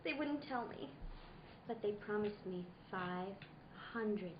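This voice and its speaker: A woman's voice: medium pitch, lively intonation, slow. A woman playing a seductive, lying femme fatale in a noir radio play, with theatrical, pleading delivery. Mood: coy. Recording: dull roomy recording with background noise.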